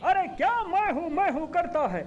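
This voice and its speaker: A man's voice: extremely high pitch, lively intonation, normal speed, highs cut off, slight background noise. A man voicing a put-upon, grumbling small animal sidekick in a Hindi cartoon, whining and complaining. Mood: exasperated.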